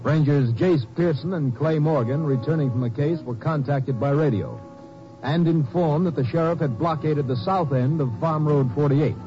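A man giving formal, measured scripted narration for a radio drama. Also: a little high. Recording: dull old radio with music behind.

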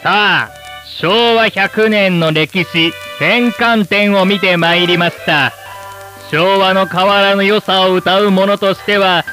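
A man's voice: high-pitched, sharp tone, heavily acted. A man playing an earnest television presenter in a radio drama, announcing in formal, scripted, solemn language. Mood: solemn, nostalgic. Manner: formal, solemn, measured wording.

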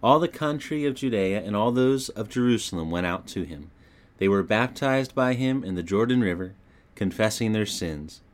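A man reading scripture as a scripted audiobook narration, with an expressive storytelling delivery. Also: lowish voice, moderate pace.

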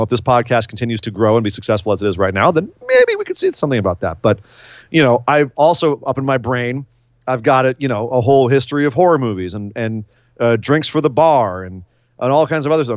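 A man's voice: medium pitch, no room sound. A middle-aged man co-hosting a casual wrestling podcast, wry and self-deprecating, talking close into a microphone. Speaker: podcast co-host. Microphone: podcast mic close to face. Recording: slightly dull, dry recording.

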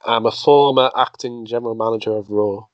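A man's voice: mid-range voice, steady tone, brisk, dry recording, highs cut off. A man hosting a casual quiz game, reading clues aloud in first person and laughing often. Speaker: quiz host.

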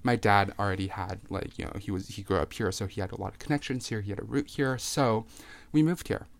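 A man's voice: fairly low pitch, steady tone, fairly quick, vocal fry, dry recording. A man in his twenties, from Taiwan, talking candidly and with self-mocking humor as a podcast guest. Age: 20s. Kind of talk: casual interview chat.

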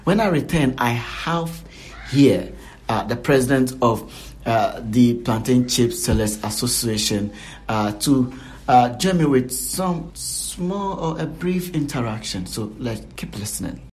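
A Ghanaian man hosting a podcast, talking casually with lots of fillers and pauses. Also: medium pitch, darkish tone. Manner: halting, many fillers. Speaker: podcast host.

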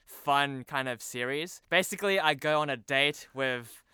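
Bright, very clear young man chatting. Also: medium pitch, measured pace, no room sound, studio quality. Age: young adult. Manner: informal, self-deprecating. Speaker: podcast guest, TV promo producer. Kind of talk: casual chat. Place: remote video call.

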